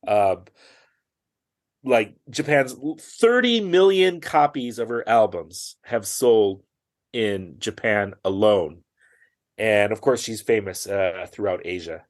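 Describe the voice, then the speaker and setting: A man's voice: fairly low pitch, slow. A man speaking as a podcast guest in casual conversation, chatty, with frequent fillers and restarts. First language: English.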